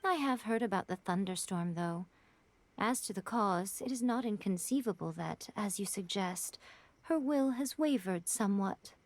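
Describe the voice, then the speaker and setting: A knowing, teasing priestess, voiced by a woman, amused at everyone's expense. She speaks in a languid, mocking way at a middle pitch. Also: expressive, moderate pace, close to an everyday voice. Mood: sly. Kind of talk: game cutscene voice acting.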